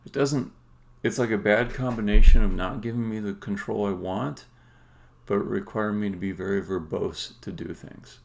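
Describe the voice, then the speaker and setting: A man with a low, heavily creaky voice talks himself through code on a live stream. He speaks haltingly, often muttering to himself. There is faint background noise, with keyboard clicks and typing. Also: fairly even intonation. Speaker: game developer live-streaming. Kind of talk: thinking aloud while live-coding. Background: computer keyboard typing and clicking.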